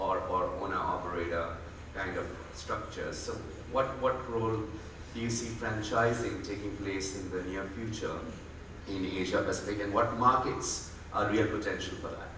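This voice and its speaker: A man's voice: on the low side, moderate pace, background noise. A man moderating a conference panel, asking questions with a light, teasing manner. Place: large conference hall. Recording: echoey hall, distant mic.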